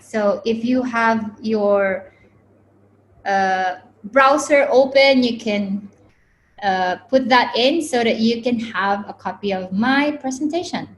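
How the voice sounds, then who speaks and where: A woman's voice: fairly high pitch, a little hiss or hum, poor audio. A woman from the Philippines in her forties, leading an online workshop for teachers over a video call in an upbeat way, often saying "okay". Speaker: webinar presenter.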